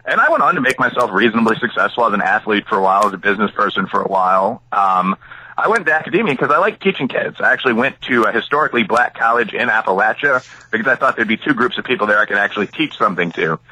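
A middle-aged American man speaks as an interview guest with a very bright, forceful edge and a husky grain. His pitch moves in a lively way as he lays out opinionated, analytical answers with asides and examples. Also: fast talker, no echo, rough recording. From Chicago area, United States. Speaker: interview guest, academic and author.

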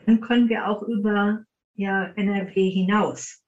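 A woman's voice: medium pitch, fairly even intonation, a little slow, darkish tone. Muffled recording of a woman chatting. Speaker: paranormal investigator, interview guest. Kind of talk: informal interview talk. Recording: dull, muffled band-limited sound.

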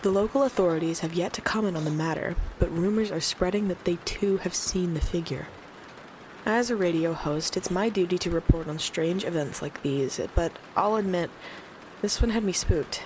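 A woman's voice: lowish voice, normal speed, a little mellow, slight background noise, natural voice, rough recording. A woman playing a concerned small-town late-night radio host in an eerie audio drama. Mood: uneasy, storytelling.

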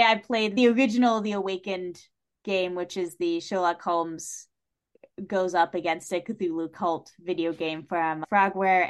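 Animated American woman chatting about books. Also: medium pitch. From New England, United States. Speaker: podcast guest. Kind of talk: casual literary discussion.

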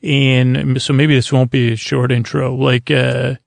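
A very gravelly, creaky man recorded close and dry. He hosts a bedtime show in a lulling, long-winded ramble, halting often and wandering off in a friendly, soothing way. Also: mid-range voice, little pitch movement, no room sound. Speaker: bedtime podcast host.

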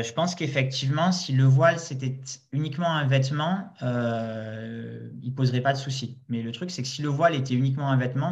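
A man argues his view in a relaxed spoken exchange, stopping often to restart his phrases. His voice sits in a medium register. The sound is a bit dull and lo-fi. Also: medium pitch. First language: not Arabic. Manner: explanatory, many restarts and hesitations. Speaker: discussion guest. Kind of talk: casual spoken debate.

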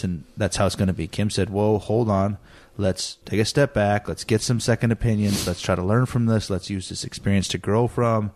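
A man in his thirties explaining reflectively in conversation, deliberate and halting, repeating phrases for emphasis. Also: on the low side, a little mellow, no room sound.

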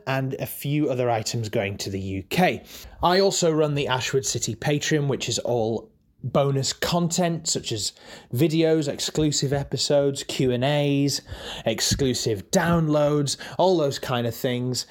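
A man talking casually and self-deprecatingly behind the scenes as a podcast director, rambling a little as he explains things. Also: a little high. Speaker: podcast director, editor and voice actor.

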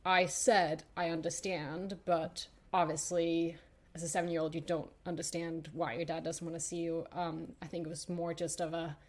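A young woman from Denmark telling her personal story as a podcast guest, thoughtful and hesitant with many pauses and fillers. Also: on the low side, level tone.

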